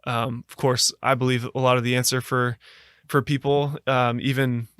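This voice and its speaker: An American man telling a reflective story as a podcast guest, earnest and halting, with frequent fillers and restarts. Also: mid-range voice, little pitch movement, fairly bright tone, smooth, no room sound, clean studio sound. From United States.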